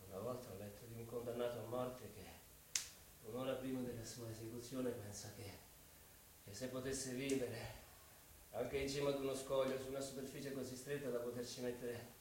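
An actor playing a tormented, proud young former student, brooding and obsessive, in Italian radio theatre. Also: male voice, medium pitch, darkish tone, natural voice. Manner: brooding, obsessive, repeats words. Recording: echoey room, distant mic, noisy.

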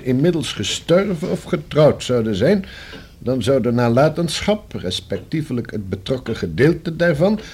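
An actor playing a formal, precise and long-winded notary in a Dutch radio play, with a slightly husky voice. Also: male voice, mid-range voice, a little mellow. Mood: formal, measured. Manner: formal, precise, pedantic legal phrasing. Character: formal, discreet, long-winded male notary explaining a will.

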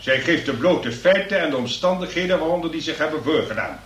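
A man's voice: a little high, animated, brisk, fairly bright tone. A man acting a pompous, long-winded examining magistrate in a comic radio play, speaking in ornate legal phrases. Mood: pontificating. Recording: noisy, echoey room, distant from mic.

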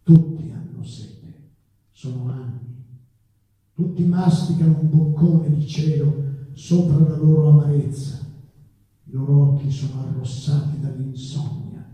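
Slow, echoey man reciting poetry solemnly. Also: on the high side, fairly even intonation, warm tone. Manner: solemn, declamatory. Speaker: stage performer reciting poetry. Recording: distant, echoey, dull live stage sound.

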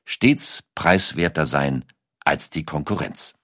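A man's voice: a bass voice, lively intonation, takes it slowly, a bit bright, no echo, studio quality. A man reading a scripted documentary narration as its narrator.

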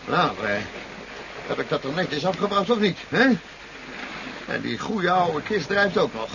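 An actor playing a curt military pilot with a clipped, dryly ironic manner in a 1970s Dutch radio drama. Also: male voice, high voice, lively intonation, measured pace, creaky, heavily acted. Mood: relieved, uneasy. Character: male military pilot, curt and cool under pressure. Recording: muffled, noisy 1970s radio recording.

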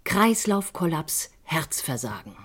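Husky, low-voiced troubled woman. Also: big pitch swings, exaggerated voice. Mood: grave, urgent. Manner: intense, deliberate, confiding. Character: troubled stage actress, grieving sister convinced of murder. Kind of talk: German radio crime drama acting.

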